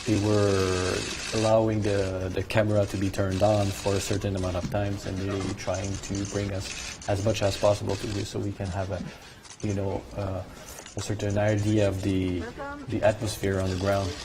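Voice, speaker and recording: A man's voice: on the low side, little pitch movement, normal speed. A French Canadian man, a native French speaker, giving conversational live studio analysis and searching for his words. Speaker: studio commentator, French-language coverage host. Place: broadcast studio. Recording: clear background noise.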